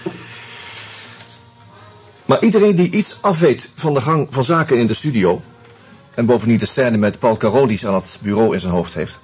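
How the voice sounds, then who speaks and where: Confiding screenwriter, music behind. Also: male voice, medium pitch, a little mellow, husky. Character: sociable, teasing screenwriter sharing his suspicions over drinks. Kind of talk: Dutch radio drama acting.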